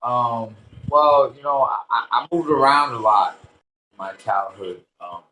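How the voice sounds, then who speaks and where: A man from Puerto Rico in his thirties, a fighter chatting casually in an interview, relaxed and rambling with restarts and casual swearing. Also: medium pitch, measured pace, slight background noise, poor audio. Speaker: interview guest, bare-knuckle fighter.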